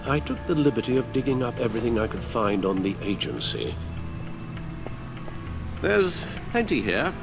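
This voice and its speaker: An actor playing a loyal, careworn older British butler in a video game, polite and formal in manner. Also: male voice, medium pitch, big pitch swings, normal speed, vocal fry, long pauses. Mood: courteous. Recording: music behind the voice.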